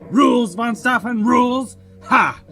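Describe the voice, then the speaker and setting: A megalomaniac Nazi villain in an audio drama. The voice is high for a man, theatrical, grandiose and cruel. Loud music plays behind it. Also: a little slow. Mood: raging, gloating.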